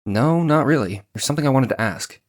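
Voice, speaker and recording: A man's voice: mid-range voice, animated, fairly quick, natural voice. An actor voicing an exasperated but polite young male defence lawyer from a video game, with hesitant, sardonic asides. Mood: probing. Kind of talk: dramatized video game dialogue.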